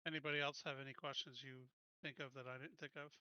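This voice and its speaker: A man's voice: mid-range voice, little pitch movement, fast, bright, forceful tone, smooth, no room sound, dull audio. A man chatting casually as a player in a tabletop roleplaying game.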